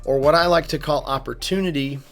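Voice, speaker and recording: A man's voice: a little high, brisk, fairly bright tone. A man who is slightly losing his voice, giving casual, emphatic stock market commentary as a channel host. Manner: chatty, emphatic, repeats words for stress.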